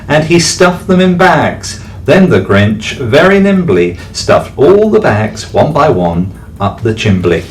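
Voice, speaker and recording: Slow, expressive man reading a storybook. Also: medium pitch, a little hiss or hum. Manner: slow, expressive rhyming delivery. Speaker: teacher reading a bedtime story. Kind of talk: storybook read-aloud. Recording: distant mic, faint background music.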